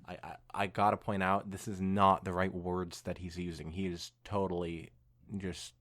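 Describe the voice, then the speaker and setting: A young man hosting a podcast, reading fan fiction aloud in an animated way mixed with casual chat. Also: low voice, moderate pace.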